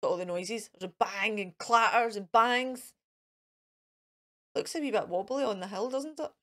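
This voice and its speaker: A woman reading a picture book aloud to children in an expressive, sing-song way, acting out sound effects and adding asides. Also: medium pitch, normal speed. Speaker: children's storyteller. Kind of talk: animated picture-book read-aloud with asides to young viewers.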